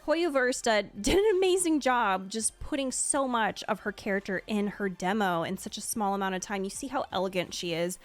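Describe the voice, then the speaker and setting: A woman's voice: medium pitch, lively intonation, normal speed, few pauses, soft background music. A woman streamer giving casual, gushing commentary as she reacts to something she is a fan of. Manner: gushing, enthusiastic. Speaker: streamer and video creator.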